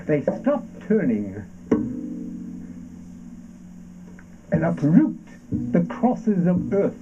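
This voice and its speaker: A fervent male orator from a surreal stage radio play, slow and declamatory. He accuses in halting, drawn-out phrases that swoop widely. Loud plucked guitar plays behind him. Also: on the high side, huge pitch swings, exaggerated voice. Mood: solemn, incantatory. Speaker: stage actor. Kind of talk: theatrical avant-garde radio play recitation. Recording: dull lo-fi stage performance recording.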